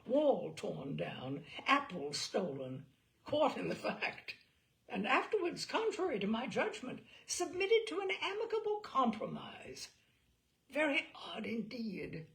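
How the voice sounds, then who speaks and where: A woman's voice: a little high, huge pitch swings, takes it slowly, gravelly, pitched above the performer's own voice, full character voice. A woman voicing a fussy, talkative, deferential male lawyer in an audiobook of a classic novel, rambling eagerly and interrupting himself. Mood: flustered, rambling.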